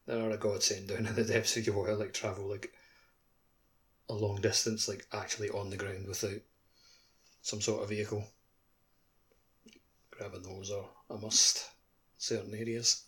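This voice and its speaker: A man co-hosting a casual gaming podcast, talking haltingly and repeating himself with lots of filler. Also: lowish voice, flat delivery, a bit bright. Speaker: podcast co-host.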